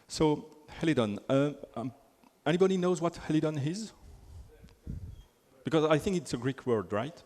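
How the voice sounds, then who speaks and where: A man's voice: fairly high pitch, expressive, moderate pace, a little mellow. French-accented man presenting in a hall. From Belgium. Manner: chatty, self-deprecating, frequent fillers and restarts. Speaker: conference speaker. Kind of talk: technical conference talk. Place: large conference hall. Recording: hall with long reverb.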